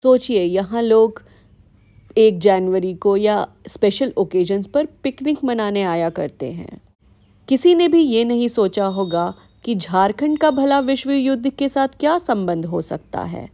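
A woman with an Indian accent reading scripted documentary-style narration for a vlog in a measured, explanatory way. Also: mid-range voice, animated, warm tone. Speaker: vlogger narrating.

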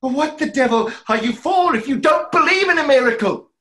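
A man's voice: very high-pitched, animated, fairly quick, bright, forceful tone, full character voice. A young man playing a tormented, lovestruck conjurer in a stage play reading, with theatrical, emphatic delivery. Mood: fierce, challenging. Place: small room at home, video call.

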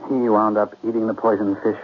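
A man's voice: on the low side, monotone, brisk, lightly acted. Man playing smug scheming crime boss, muffled radio. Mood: feigned regret. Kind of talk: 1970s radio drama acting. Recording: muffled, noisy 1970s radio recording.

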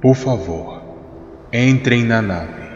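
A man voicing a formal alien envoy who speaks in a measured, ceremonious way. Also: medium pitch, lively intonation. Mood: solemn. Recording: echoey hall, dull lo-fi sound, music behind.

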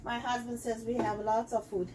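A woman's voice: a little high, level tone, normal speed, mellow, slight background noise. A middle-aged woman, a native Armenian speaker, chatting casually through a cooking demo in her home kitchen, with loose phrasing and many fillers. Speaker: cooking show host selling kitchenware.

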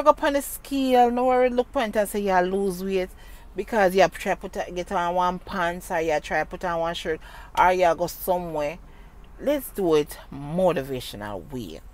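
A middle-aged woman, talking casually to her phone camera from inside a car, emphatic and repetitive, speaking into a wired earphone mic. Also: fairly low pitch. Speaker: vlogger. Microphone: wired earphone mic, phone held close. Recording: car and street noise.

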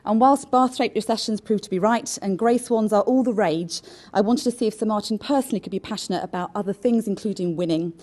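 A woman in her thirties giving a brisk, formal welcome speech at a lectern on a conference stage. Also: medium pitch, warm tone. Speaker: event chair introducing a session. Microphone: lectern mic.

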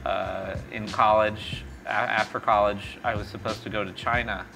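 A man's voice: fairly low pitch, flat delivery, moderate pace, creaky, music in the background, poor audio. A middle-aged man from East Texas, born in Mexico, talking in a casual interview in a small café, rambling with many fillers and restarts. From born in Mexico, raised in East Texas. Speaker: startup founder and CEO, interview guest. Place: small café by a street window.

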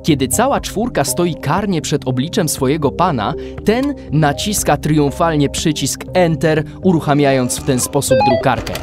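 A man's voice: fairly high pitch, animated, normal speed, few pauses, music in the background. A man narrating a children's radio play in scripted storytelling style.

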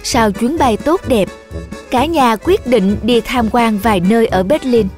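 A woman's voice: on the high side, a little slow. A woman narrator reading a scripted children's story. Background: music.

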